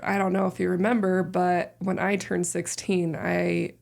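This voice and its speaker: A woman in her thirties with a deep voice, chatting casually and rambling a little as a podcast guest. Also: a little slow, vocal fry. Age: 30s. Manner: relaxed, rambling, many fillers. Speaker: podcast guest, host's sister. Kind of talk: casual family chat.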